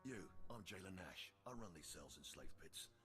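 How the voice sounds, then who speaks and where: Gruff deep-voiced prison jailer. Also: male voice, very animated, normal speed, pushed and bright, exaggerated voice. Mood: brusque. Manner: folksy, blunt, menacing. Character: gruff, sardonic male prison jailer of an evil empire. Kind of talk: video game character acting. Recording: music behind the voice.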